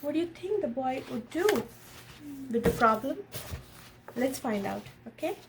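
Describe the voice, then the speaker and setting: A woman reading a picture book aloud in an expressive storytelling style, pausing to explain and ask questions of a child and viewers. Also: high-pitched, creaky. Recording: noticeable background noise.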